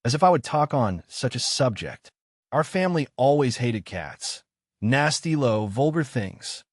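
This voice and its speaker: A man's voice: medium pitch, very animated, a little slow, dry recording, clean studio sound, pitched above the performer's own voice. Man voicing an indignant, pompous little storybook mouse. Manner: passionate, pompous. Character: touchy, indignant, self-important male mouse.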